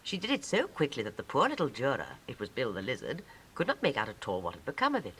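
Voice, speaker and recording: A woman's voice: rumbling low, wildly swooping pitch, quick pace, fairly bright tone, slight background noise, rough recording. A woman with a British Received Pronunciation accent reading scripted storybook narration in a 1950s style.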